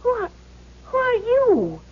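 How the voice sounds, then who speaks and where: An actress playing a suspicious, demanding widow in a 1970s radio drama, haughty and easily flustered. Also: female voice, extremely high pitch, huge pitch swings, slow pace, full character voice. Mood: startled. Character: demanding, suspicious wealthy widow consulting a medium. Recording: muffled, noisy old radio broadcast.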